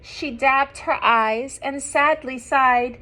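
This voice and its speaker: A woman reading a picture book aloud to young children, expressive and gentle, often dropping into hushed asides to her listeners. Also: high voice, slow pace. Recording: some background noise.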